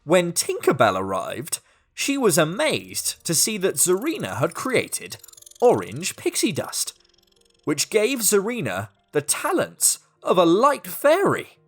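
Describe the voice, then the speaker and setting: A woman narrates a children's story in a highly animated voice. She takes it slowly, with deliberate phrasing and dramatic pauses, over faint music. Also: husky.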